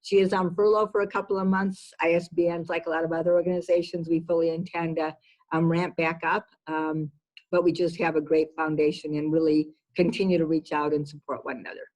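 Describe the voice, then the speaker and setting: A woman's voice: sits low, little pitch movement, brisk, a little mellow, rough recording. A woman executive giving conversational interview answers, emphatic and repeating words for stress. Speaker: business executive being interviewed.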